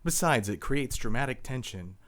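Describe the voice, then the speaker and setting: Lively, hammy man in comic banter. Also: medium pitch, normal speed. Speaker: actor and producer in comic banter. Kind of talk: scripted comic radio banter.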